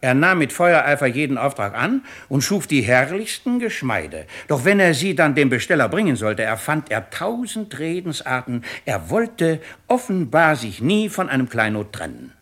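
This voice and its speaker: Sardonic judge, swooping delivery. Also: male voice, medium pitch, sing-song, moderate pace, few pauses, fairly bright tone. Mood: animated, insinuating. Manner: pompous, probing, mocking. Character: shrewd, sardonic male presiding judge of a French tribunal. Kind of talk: 1950s German radio play acting.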